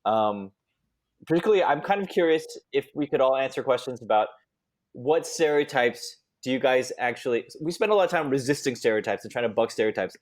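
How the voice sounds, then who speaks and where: Man, panel moderator, easygoing, hesitant fillers, middle pitch. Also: animated, brisk. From California Bay Area. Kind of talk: casual live-streamed panel chat. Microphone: home video call.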